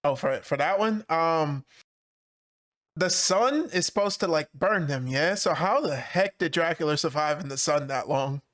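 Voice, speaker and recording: A man's voice: on the high side, a little slow, a bit bright. A man co-hosting a casual movie review podcast, easygoing and laughing along. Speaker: podcast co-host.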